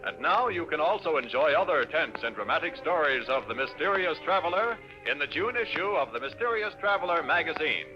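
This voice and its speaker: A man's voice: a little high, animated, a little fast, few pauses. A man reading scripted announcements in a formal, polished 1950s radio style. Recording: muffled vintage radio, music behind.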